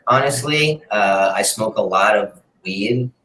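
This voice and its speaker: A man's voice: on the low side, measured pace, fairly bright tone. A man, a singer-songwriter, talking about his music in a laid-back, rambling way, as a guest in an interview. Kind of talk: casual interview chat. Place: room in a city apartment, street noise outside. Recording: roomy echo.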